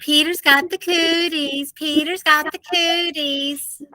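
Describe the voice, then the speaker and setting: A woman's voice: high-pitched, steady tone, slow pace, sharp tone. A woman reading the characters' lines in a children's story in a theatrical, exclamatory way. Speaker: reader of character dialogue. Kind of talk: acted storybook dialogue for children.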